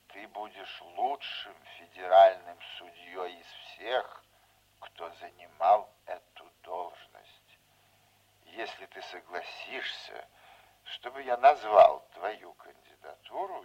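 An older man acting in a radio play as a folksy, scheming veteran politician, unhurried, fatherly and insinuating. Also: low-pitched, a little mellow, slightly rough, lo-fi sound, heavily acted.

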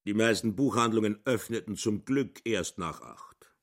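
A man's voice: lowish voice, normal speed. A man reading narration in a literary radio drama in a plain, matter-of-fact way.

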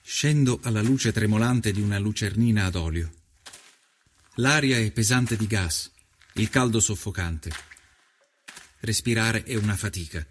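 An actor playing an earnest nineteenth-century Italian investigative journalist, narrating his reportage in measured, literary first person for a documentary. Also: on the low side, slight background noise, natural voice. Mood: grave, descriptive.

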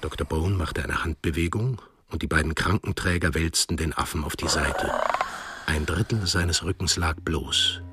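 A man's voice: very deep voice, normal speed, background noise. A man narrating a radio drama from a script in standard German.

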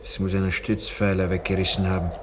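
An actor playing an anxious cable-car worker who trusts in calculations, in a German radio play. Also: male voice, low voice, little pitch movement, quick pace, background noise, natural voice. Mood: urgent.

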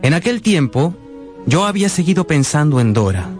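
A heartfelt male narrator of a radio drama, recalling his youth. His voice is fairly high and widely varied in pitch, telling the story expressively over music. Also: sing-song, moderate pace, a little mellow. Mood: heartfelt, reflective. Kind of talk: scripted first-person radio drama narration.

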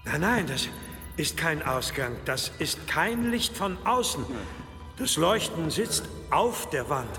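A frail old abbot in a radio play, anxious and learned. The voice is fairly high and bright and swings widely, halting and gasping, in long reverb. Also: male voice, very animated, exaggerated voice. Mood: uneasy wonder. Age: older. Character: frail elderly male monk abbot, anxious, pained, learned. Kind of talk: German audio drama acting. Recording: long cave-like reverb, music underneath.

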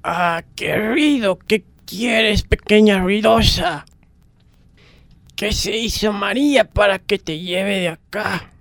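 A woman playing a gruff but caring bookish man, grumbling and unhurried, in a radio drama. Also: medium pitch, slight background noise. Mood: annoyed. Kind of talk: amateur radio drama acting.

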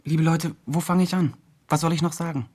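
An actor playing an earnest, wordy do-gooder blogger making appeals in a radio drama. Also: male voice, on the high side, fairly even intonation, brisk, a little mellow, natural voice. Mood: moved, encouraging. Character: earnest do-gooder blogger campaigning for a homeless man. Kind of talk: scripted blog-like radio drama narration.

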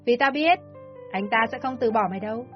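A woman voicing a spiteful, shrill villainess. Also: moderate pace, natural voice. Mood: menacing. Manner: harsh, contemptuous and shouting. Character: cruel jealous woman kidnapper, spiteful and threatening. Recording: dull lo-fi audio with soft music.

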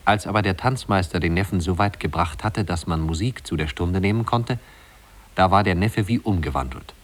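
A man with a very low voice narrates a classic tale. The delivery is scripted literary storytelling in the style of 1950s radio drama. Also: normal speed.

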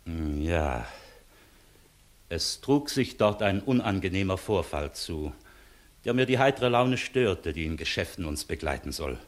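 An actor playing a courteous, authoritative visiting court inspector in a 1960s German radio play, speaking in a formal, measured manner. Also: male voice, low voice, expressive. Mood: inquiring.

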